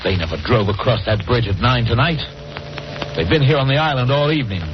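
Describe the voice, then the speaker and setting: A man's voice: mid-range voice, animated, fairly quick, background noise, close to an everyday voice. Actor as insistent man, muffled vintage radio drama. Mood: urgent, insistent. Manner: insistent, commanding, exasperated. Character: urgent, hard-headed, impatient male companion giving orders. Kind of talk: 1940s radio horror acting.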